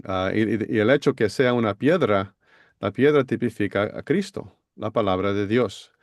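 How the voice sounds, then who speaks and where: A man's voice: on the low side, moderate pace, no echo, clean studio sound. A man explaining Bible passages on a podcast, hesitant with many fillers. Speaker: Bible teacher.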